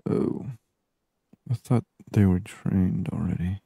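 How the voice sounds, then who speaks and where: Soft-spoken male gaming narrator, deep and creaky, murmuring unhurriedly for ASMR. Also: slow pace, no room sound, studio quality. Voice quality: soft, hushed, breathy voice. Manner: murmured thinking aloud in short phrases. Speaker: gaming streamer. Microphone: close binaural microphone.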